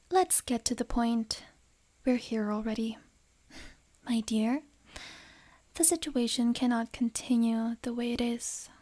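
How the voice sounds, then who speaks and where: An actress playing a wealthy, possessive noble lady in an ASMR roleplay, speaking softly and breathily with refined, measured delivery and menace under a gentle facade. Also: fairly high pitch, fairly even intonation. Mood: earnest. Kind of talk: ASMR romantic roleplay acting.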